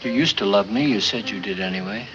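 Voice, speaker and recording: Actor doing a meek tired husband, plaintive and wounded, 1950s film noir, dull old soundtrack, clear film score. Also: male voice, on the low side, quick pace, natural voice. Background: film music.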